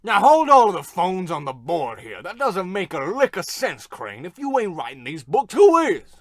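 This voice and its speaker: An actor playing a gruff, folksy, blustering skeptic with dramatic delivery, in old radio comedy style. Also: male voice, high-pitched, wildly swooping pitch, moderate pace, no room sound, studio quality, exaggerated voice. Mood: indignant.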